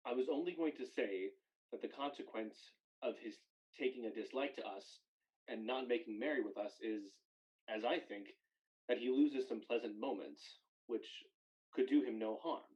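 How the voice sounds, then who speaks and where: A man playing a good-natured, charitable Victorian gentleman in a radio play, genial and earnest. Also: mid-range voice, normal speed, lightly acted. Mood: amused, forgiving. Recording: slightly dull lo-fi audio.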